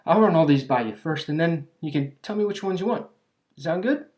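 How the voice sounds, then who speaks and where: A voice actor playing a clumsy, cheerfully eccentric alchemist shopkeeper in an ASMR roleplay, chatty and absent-minded, halting and trailing off. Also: mid-range voice, lively intonation, darkish tone, lightly acted. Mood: eager salesmanship. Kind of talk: ASMR roleplay, scripted character acting.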